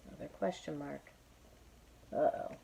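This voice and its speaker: A woman's voice: sits low, unhurried, creaky, rough recording. A woman reading a storybook aloud to young children, expressively, with teaching asides. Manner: expressive, spells out words for young listeners.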